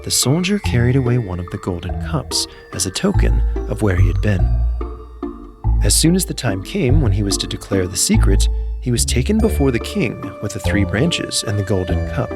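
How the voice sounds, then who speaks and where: A man's voice: on the low side, very animated, moderate pace. A man narrating a scripted fairy tale as a storyteller, reading in full literary sentences. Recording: music behind the voice. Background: background music.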